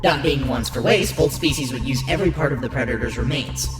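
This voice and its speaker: Roomy-sounding narrator over loud music. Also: mid-range voice, fast. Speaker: narrator and show host. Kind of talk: scripted documentary-style narration. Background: loud background music.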